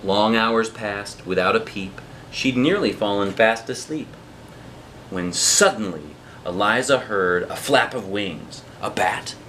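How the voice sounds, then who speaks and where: A man's voice: fairly low pitch, expressive, slow, fairly bright tone, a little hiss or hum. A man reading a picture book aloud as a dad would, with dramatic rhythmic phrasing and casual asides to children.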